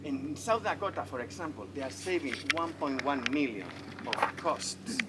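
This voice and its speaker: A man's voice: a little high, expressive, moderate pace. A man from Spain with a Spanish accent, a wildlife veterinarian giving a friendly, enthusiastic public talk at an outdoor festival. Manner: enthusiastic, friendly, asks the audience questions. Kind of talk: public lecture. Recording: noisy outdoor festival recording.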